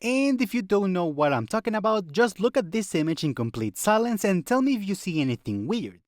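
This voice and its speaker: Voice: medium pitch, very animated, fairly quick, no echo, studio quality. A video essayist narrating a scripted fandom video in a conversational way, with rhetorical questions and wry asides.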